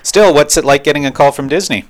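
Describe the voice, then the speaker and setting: A man's voice: a little high, quick pace. A man interviewing a guest in a curious manner, often restarting his questions. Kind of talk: radio interview.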